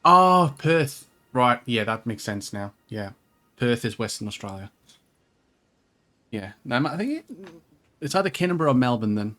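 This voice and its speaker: A man's voice: mid-range voice, very animated, measured pace, pushed and bright. A man chatting casually in a rambling, jokey, sweary way as he plays a co-op video game. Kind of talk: casual co-op let's play chat.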